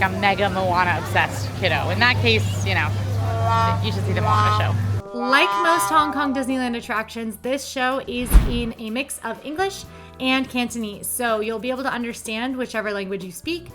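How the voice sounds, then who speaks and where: Chatty upbeat woman with a fairly high voice. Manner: enthusiastic, chatty, playful asides. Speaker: theme park vlogger. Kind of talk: upbeat ride review. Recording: music bed under voice.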